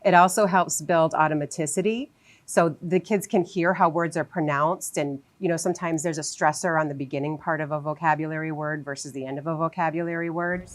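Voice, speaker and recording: A woman's voice: deep voice, steady tone, fairly quick. A woman teacher explaining in a conversational interview style, giving everyday examples as she talks. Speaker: English teacher and literacy advocate being interviewed.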